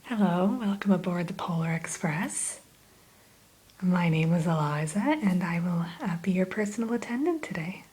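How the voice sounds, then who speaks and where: A woman's voice: on the low side, expressive, normal speed, darkish tone, husky. A woman playing a courteous train attendant in an ASMR roleplay, whispering in a polite, accommodating and chatty manner. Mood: polite, attentive. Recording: faint background hiss.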